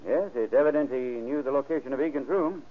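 An actor playing a probing, deliberate county sheriff in an old-time radio crime drama. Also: male voice, medium pitch, close to an everyday voice. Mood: thoughtful, businesslike. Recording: narrow, tinny vintage radio recording.

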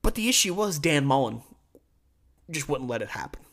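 A man's voice: a little high, big pitch swings, moderate pace, a bit bright. Emphatic American male sports host. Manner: emphatic, conversational, opinionated. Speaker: sports podcast and radio host. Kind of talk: sports commentary monologue.

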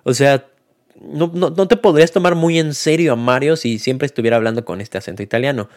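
A man's voice: medium pitch, fairly quick. A man giving a casual spoken film review, hesitant, with many fillers and restarts.

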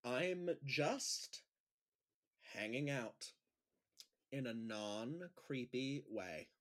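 A man playing an awkward, easily embarrassed high school gym teacher in an audio drama, hesitant and over-explaining. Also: expressive, extremely slow, fairly bright tone. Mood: flustered, embarrassed. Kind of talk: naturalistic audio drama acting.

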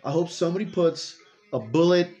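A man co-hosting a casual podcast, cursing freely and ranting loudly in crude banter. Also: a little high, brisk, faint music behind. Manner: profane, loud, animated rants. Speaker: podcast co-host.

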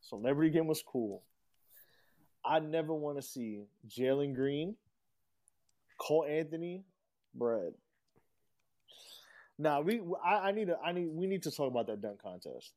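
A man's voice: a little high, expressive, measured pace, long pauses, a little mellow. An American man co-hosting a podcast, riffing casually about sports in profane slang. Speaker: podcast co-host.

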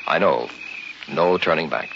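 An actor playing a reluctant but stubborn young newlywed husband, sometimes halting, in old-time radio horror acting. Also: male voice, a bass voice, gravelly, noisy background, full character voice. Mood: resolved. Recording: muffled vintage radio broadcast with loud music.

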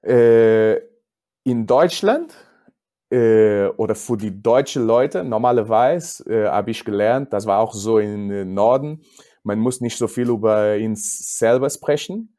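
A man's voice: fairly low pitch, dark tone. A man with a Portuguese accent speaking as a non-native, a judo coach answering casually in an interview and searching for words. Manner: halting, searching for words in non-native German, repeats himself.

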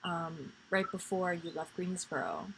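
A woman in her twenties hosting a podcast, chatting casually about faith in a soft, rambling way full of fillers. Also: lowish voice, measured pace, gravelly, slight background noise, poor audio. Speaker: podcast host.

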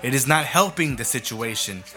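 A man's voice: medium pitch, animated, normal speed, sharp tone, soft background music. A man hosting a show, giving a blunt, profane, comedic rant in casual talk.

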